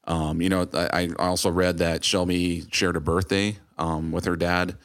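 Man, middle-aged, American, low pitch, podcast host, casual, anecdotal, dry sound. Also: little pitch movement, normal speed, no room sound. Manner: chatty, anecdotal. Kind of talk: casual chat.

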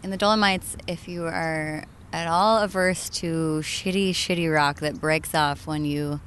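A woman's voice: low-pitched, steady tone, unhurried, a bit bright, a little hiss or hum. A woman from Maine, a journalist in her thirties, chatting as an interview guest, laughing often and trailing into fillers. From Maine, USA. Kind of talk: casual interview conversation.

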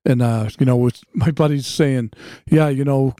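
A man's voice: mid-range voice, normal speed, dark tone, no echo, clean studio sound. A man co-hosting a podcast, chatting and telling anecdotes in an informal, conversational style. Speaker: podcast co-host. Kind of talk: casual chat.

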